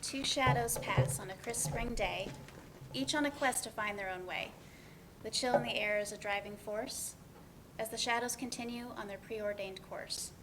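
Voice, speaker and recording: A woman's voice: on the high side, normal speed, very noisy, close to an everyday voice. A woman playing a wry, sarcastic young woman with a dry, natural delivery in a play reading. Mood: reflective.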